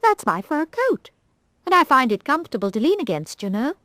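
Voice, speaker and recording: Lady putting on a high chirpy rag doll, bold and motherly, voice jumps all over, dry sound. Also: very animated, a little fast, no echo. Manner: high and bright.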